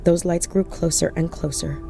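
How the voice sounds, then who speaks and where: A woman's voice: fairly low pitch, fairly even intonation, moderate pace, gravelly. A woman playing a haunted, sardonic lone driver, confiding her story as horror drama narration. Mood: uneasy. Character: haunted, wry woman driving alone at night, narrating. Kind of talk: first-person audio horror drama narration. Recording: loud music bed under voice. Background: music and vehicle sounds.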